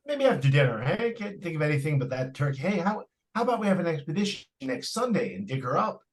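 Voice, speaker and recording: A man's voice: on the high side, sing-song, fast talker. Man playing a swooping, patronizing radio-drama dad. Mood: indulgent, amused. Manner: hesitant, stammering, patronizing. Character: distracted, indulgent but firm family father. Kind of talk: old-time radio suspense acting. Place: live stage radio-play performance.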